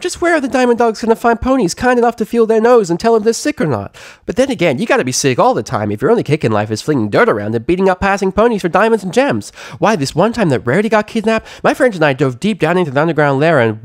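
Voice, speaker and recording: A man's voice: high voice, very animated, fast, few pauses, no room sound, studio quality, pitched above the performer's own voice. A man voicing a bubbly, talkative young female cartoon pony in a story reading, with gushing run-on speech. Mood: excited rambling. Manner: gushing, rambling, endless run-on chatter. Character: hyperactive, chatty, bubbly young female pony party girl. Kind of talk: animated fan fiction character reading.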